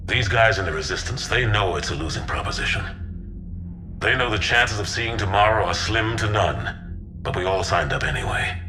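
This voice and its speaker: A man playing a seasoned, gruff older admiral with a blunt, frank manner in a video game. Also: lowish voice, moderate pace, background noise, close to an everyday voice. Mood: somber, resolute. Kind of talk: dramatic video game acting.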